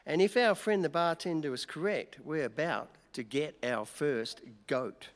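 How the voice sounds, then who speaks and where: A man's voice: on the high side, measured pace, natural voice. A man playing a tipsy, sardonic newspaper reporter in a comic radio play, mock-grand and tripping over his words. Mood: tipsy, bossy. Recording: reverberant hall.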